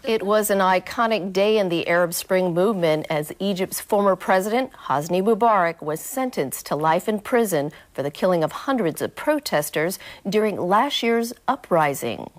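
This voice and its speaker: A woman's voice: medium pitch, expressive, moderate pace, few pauses. A woman reading scripted news stories as a news anchor. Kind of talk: scripted newsreel narration.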